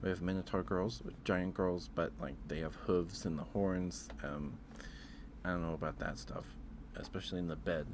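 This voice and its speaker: A man streaming casual ASMR chat, talking haltingly, often repeating words and trailing off. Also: low voice, steady tone, noisy background. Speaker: streamer hosting a ranking.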